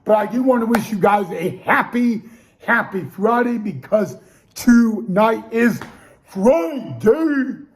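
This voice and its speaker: High-voiced man losing his voice. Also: expressive, slow pace. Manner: repetitive, stammering restarts. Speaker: podcast host. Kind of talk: casual sports talk show.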